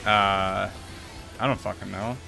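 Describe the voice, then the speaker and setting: A man streaming a game, chatting casually with friends in an excitable, jokey way. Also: low-pitched, takes it slowly, gravelly. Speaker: streamer, host of a raid playthrough. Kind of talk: casual gaming chat with friends. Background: game music.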